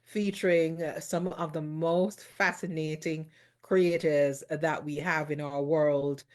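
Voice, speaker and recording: A woman's voice: deep voice, fairly even intonation, slow pace, a little mellow. A middle-aged woman from Jamaica, hosting a talk show in an effusive, exclamatory way. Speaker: host.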